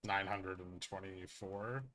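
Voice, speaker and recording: A man's voice: sits low, fairly even intonation, slow pace, sharp tone, low-quality recording. A man hosting a casual livestream, explaining things bluntly and emphatically with some swearing and answering viewers as an educator. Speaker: livestream host, trading educator.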